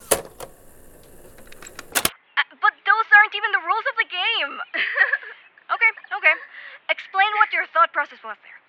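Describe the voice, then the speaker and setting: A woman's voice: very high-pitched, moderate pace, long pauses. A woman playing a teasing, playful youngster in an audio drama, giggly and mock-serious, on a muffled recording. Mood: amused, baffled. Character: playful, teasing youngster inventing card game rules. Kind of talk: naturalistic audio drama acting. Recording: muffled lo-fi sound, faint noise.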